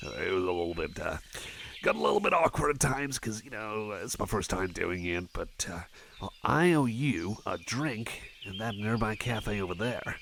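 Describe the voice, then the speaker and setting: Male actor as bashful cyborg best friend for ASMR roleplay; lowish, creaky voice, stammering, awkwardly sweet and chummy. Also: lively intonation, a bit bright, a little hiss or hum. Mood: friendly, rambling. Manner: stammering, halting, repeats phrases, self-effacing. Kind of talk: ASMR roleplay, personal attention.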